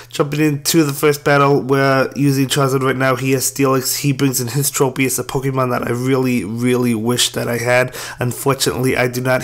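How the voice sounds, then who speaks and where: A hoarse man who is losing his voice gives casual, apologetic play-by-play commentary on his own game matches. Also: mid-range voice. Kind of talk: gameplay commentary.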